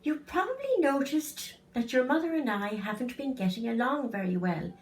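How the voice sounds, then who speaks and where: Storybook father, read by a woman. Earnest, measured, reassuring, high register. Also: big pitch swings, recorded from a distance, faint music behind. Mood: reassuring, serious. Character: earnest, reassuring father breaking hard news. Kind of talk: storybook reading.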